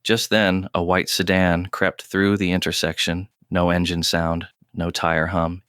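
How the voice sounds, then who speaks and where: A man narrating a scripted audio drama in a measured, terse storytelling manner, recorded close and dry. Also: deep voice, little pitch movement, fairly bright tone, smooth, no room sound.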